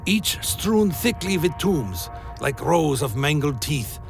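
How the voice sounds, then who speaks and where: A solemn undead vampire recounts his wanderings in deliberate, literary phrases. The voice is slightly high for a man and heavy with creak, set over faint music in a dark fantasy radio play. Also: very animated, exaggerated voice. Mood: solemn, brooding. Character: weary undead male vampire wanderer narrating his journey. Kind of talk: dramatic first-person scripted narration, dark fantasy radio play. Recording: music behind the voice.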